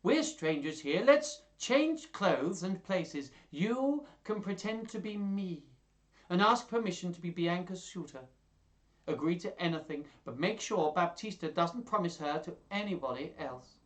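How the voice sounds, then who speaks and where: A man voicing an eager, lovestruck young suitor in a storybook, excitable and declamatory. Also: high voice, measured pace, voice pushed up. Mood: excited, scheming.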